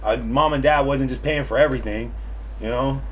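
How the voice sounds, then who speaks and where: Brash thirty-something male host, swears freely, ordinary middle pitch. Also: brisk, slight background noise. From Vermont, USA. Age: 30s. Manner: brash, ranting, heavy swearing. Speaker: podcast host and streamer. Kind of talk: casual profane podcast chat.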